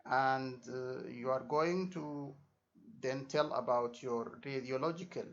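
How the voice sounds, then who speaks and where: A man's voice: medium pitch, takes it slowly, a bit bright, slight background noise. A man giving an informal exam lesson as a tutor, repeating himself and checking understanding with short tags. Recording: dull lo-fi microphone sound.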